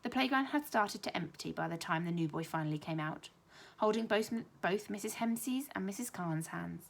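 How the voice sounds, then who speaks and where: A young woman reads a children's novel aloud. Her teacher's storytelling voice is lively and expressive. She lifts it higher and brighter whenever the young characters talk. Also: normal speed, vocal fry. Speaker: primary school teacher.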